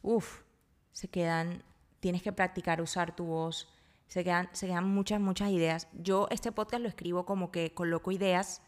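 A woman's voice: lowish voice, fairly even intonation, moderate pace. A woman hosting a solo podcast, talking reflectively and informally, earnestly working out her thoughts aloud. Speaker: podcast host.

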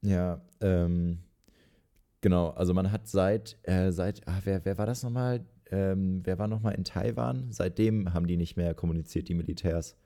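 Deep, mellow young man joking. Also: steady tone, moderate pace. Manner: joking, laughs often. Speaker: podcast co-host. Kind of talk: casual political chat.

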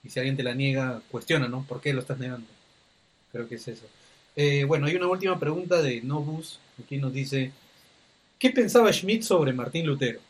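A male podcast host talks in a relaxed, conversational way, often tagging his sentences with a questioning "¿no?". He pads his speech with fillers like "este". The sound comes from a video call. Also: medium pitch, a little fast, breathy edge. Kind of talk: casual podcast interview.

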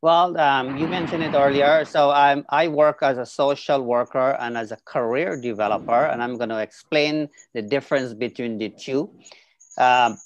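A man's voice: medium pitch, steady tone, normal speed, a little hiss or hum. A middle-aged man from Guyana, a social worker explaining his work as an interview guest in a friendly way with many fillers. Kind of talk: video call interview. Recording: dull lo-fi sound.

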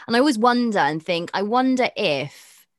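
A woman's voice: medium pitch, lively intonation, normal speed, dry recording, clean studio sound. A young woman from Pakistan, a media presenter, talking frankly and chattily in an interview, thinking aloud with fillers. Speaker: presenter and dating podcast host, guest. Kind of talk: candid interview conversation.

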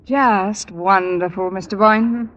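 An actress playing a sharp-tongued woman English teacher who delivers dry, sarcastic wisecracks in an old radio sitcom. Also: mid-range voice, measured pace, muffled recording, crowd noise, close to an everyday voice. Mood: wry, coy. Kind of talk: 1940s-50s radio sitcom acting. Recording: noisy old radio recording, audience behind.

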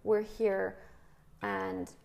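A woman's voice: mid-range voice, sing-song, very slow, creaky, darkish tone. A middle-aged woman hosting a casual conversation, talking loosely with many fillers and prompts. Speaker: host. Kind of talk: casual interview chat.

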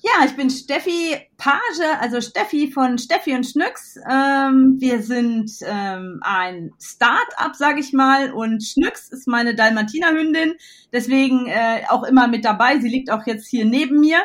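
A woman in her forties from western Germany, chatting as a podcast guest in a bubbly way, laughing often and filling pauses with "ähm". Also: high voice, fairly bright tone. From North Rhine-Westphalia, Germany. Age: 40s. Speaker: podcast guest, illustrator and start-up founder. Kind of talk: casual interview chat.

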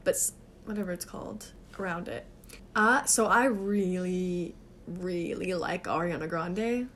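A woman's voice: on the low side, a little slow, fairly bright tone, a little hiss or hum. A woman in her twenties from Texas, co-hosting a podcast and telling stories in a chatty, giggly way. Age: 20s. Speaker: podcast co-host. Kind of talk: casual chat.

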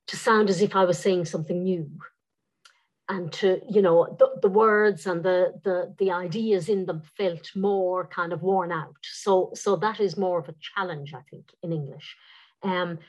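Woman novelist, low voice, careful and hesitant, restarts mid-sentence. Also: darkish tone. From Ireland. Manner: thoughtful, many repeated words and restarts. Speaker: novelist guest. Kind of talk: interview answers.

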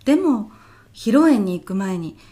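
An elderly widow played by a woman in a short radio comedy. Her voice is mellow and warm in tone, at a medium pitch. She speaks softly and courteously, answering with puzzled, deadpan politeness. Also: measured pace. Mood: bewildered, exasperated. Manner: soft-spoken, polite, deadpan reactions. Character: elderly widow, polite housewife, increasingly bewildered. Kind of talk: audio comedy sketch acting.

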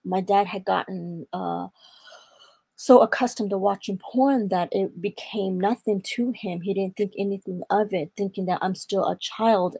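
A young woman from Atlanta, Georgia, giving an earnest personal testimony in a faith interview, quoting scripture and pausing on fillers. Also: mid-range voice, creaky, darkish tone, husky, no room sound. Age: young adult. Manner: earnest, preaching tone with scripture quotes. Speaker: guest.

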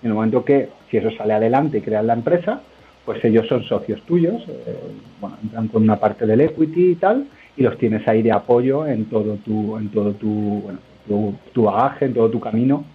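A man's voice: lowish voice, moderate pace, husky. A man, a guest entrepreneur answering interview questions in a loose, rambling way full of hesitations. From Spain. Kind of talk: conversational interview. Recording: narrow phone-line sound.

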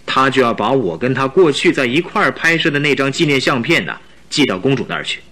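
A man's voice: mid-range voice, sing-song, fast, bright, forceful tone. An actor playing a proud, anxious young king in a radio drama, speaking emphatically and imperiously. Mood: worried, grave.

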